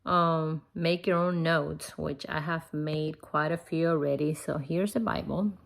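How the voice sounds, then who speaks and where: Slow, creaky-voiced woman with a foreign accent. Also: low-pitched, fairly even intonation. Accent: non-native English accent. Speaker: host of a Bible-reading vlog. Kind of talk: casual personal devotional talk to viewers.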